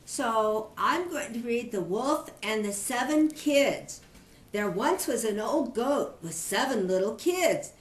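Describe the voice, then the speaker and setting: An older woman, a grandmother, reading a children's story aloud in an expressive storytelling manner. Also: on the high side, slow, slight background noise. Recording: echoey room.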